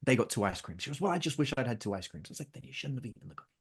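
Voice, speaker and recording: Fast-talking man telling family stories. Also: medium pitch, creaky, breathy edge. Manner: animated, acts out his kids' and his own lines. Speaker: podcast guest. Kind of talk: storytelling in casual conversation.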